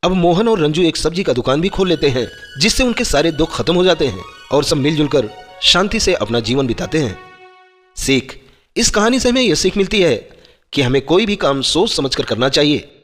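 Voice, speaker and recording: A man tells a scripted children's moral tale in a slightly high-pitched voice. The recording carries a long reverb, with music playing underneath. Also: animated, normal speed, a bit bright. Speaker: storyteller.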